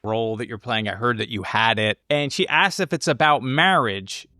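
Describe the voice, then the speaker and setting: A man's voice: medium pitch, moderate pace, sharp tone, no echo. A man co-hosting a podcast, analyzing film in an engaged, informal, conversational way. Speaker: podcast co-host. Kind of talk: casual film discussion.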